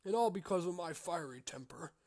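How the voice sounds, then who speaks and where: A man voicing an excitable innkeeper with a fiery temper, loud and pleading. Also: sits high, brisk, pitched above the performer's own voice.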